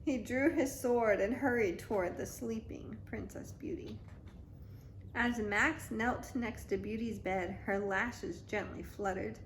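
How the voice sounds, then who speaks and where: A woman reading a picture book aloud as a mother to a toddler, in an expressive, dramatic storytime style. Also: a little high, takes it slowly, slight background noise, poor audio. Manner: dramatic, child-directed.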